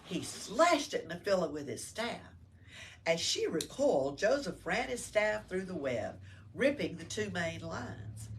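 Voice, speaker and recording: A woman reads aloud to children in a teacherly, expressive way, pausing to ask questions. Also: on the low side. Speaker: library story-time reader. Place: library room with children. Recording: faint background room noise.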